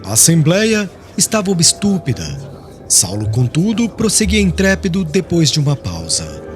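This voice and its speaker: A man with a Brazilian accent narrating a literary audiobook in an expressive, scripted style. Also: a little high, normal speed. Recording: music bed under the voice.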